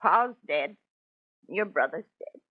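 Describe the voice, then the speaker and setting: A woman's voice: fairly low pitch, expressive, slow pace. Weary, grieving old country mother. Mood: grieving, pleading. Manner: mournful, folksy rural dialect. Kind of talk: 1930s radio drama acting. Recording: muffled old 1930s radio broadcast.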